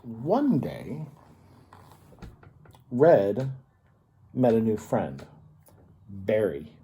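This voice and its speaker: A man reading a picture book aloud to children as a storyteller, expressively and with dramatic pauses. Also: on the low side, very animated, warm tone, a little hiss or hum.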